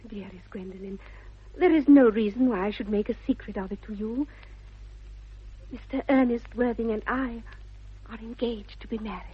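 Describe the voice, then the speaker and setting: An actress playing a sweet, prim, self-possessed young girl with an upper-class English accent and a soft, breathy voice, demurely formal in a 1960s radio comedy of manners. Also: a little high, moderate pace, long pauses. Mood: demure, confiding. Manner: demure, polite, carefully formal. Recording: dull vintage 1960s radio recording.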